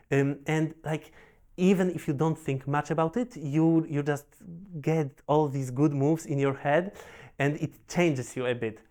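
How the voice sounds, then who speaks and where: A young man from Poland with a Polish accent, a professional Go player, telling his story in a relaxed, halting way with many restarts and fillers. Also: fairly high pitch. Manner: halting, repeats words, frequent fillers.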